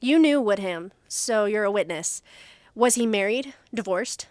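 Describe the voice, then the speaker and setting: A woman playing a brisk, businesslike police investigator in an audio drama, questioning and in command. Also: mid-range voice, lively intonation, darkish tone, no echo, close to an everyday voice. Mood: probing.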